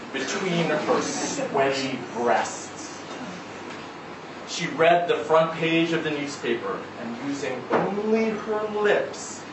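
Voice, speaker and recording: A man reading a literary short story aloud at a live event in a measured, expressive way. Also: a little high. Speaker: story reader. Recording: roomy, distant, noisy live event recording.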